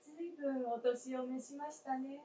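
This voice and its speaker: A woman playing a snow doll of a gentle, wistful young late wife in a staged radio drama, speaking softly and politely. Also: high-pitched, flat delivery, lightly acted. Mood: tender. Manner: soft, polite, unhurried, old-fashioned feminine speech. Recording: roomy stage, distant mic, faint noise.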